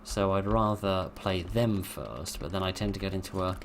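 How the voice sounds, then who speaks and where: A deep, low-pitched man, his voice worn hoarse after a long session, talks his way through a game in a dry, wry ramble. Keyboard typing is clearly heard in the background of his desk recording. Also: steady tone, moderate pace, a little hiss or hum. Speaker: gameplay commentator. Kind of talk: let's play commentary.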